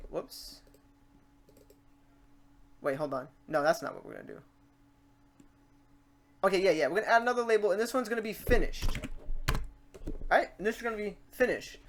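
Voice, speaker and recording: A tutorial host of unclear sex talking casually through software steps and often thinking aloud. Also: medium pitch, normal speed, long pauses, slight background noise. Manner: informal, talks self through steps.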